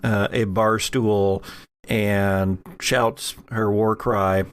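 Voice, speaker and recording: A man working as game master, improvising narration for a tabletop horror game in a halting, deliberate way with pauses. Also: fairly low pitch, flat delivery, smooth. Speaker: game master of an actual-play horror podcast.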